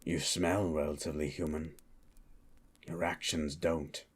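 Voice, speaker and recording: Casting: male actor for a menacing hired monster slayer, fantasy audio roleplay. Husky, extremely deep, terse and cold, with flat delivery. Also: exaggerated voice. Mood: cold threat. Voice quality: husky, very low. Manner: slow, deliberate, terse, coldly interrogating. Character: grim, menacing male monster hunter for hire, calmly threatening. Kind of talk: improvised ASMR fantasy roleplay.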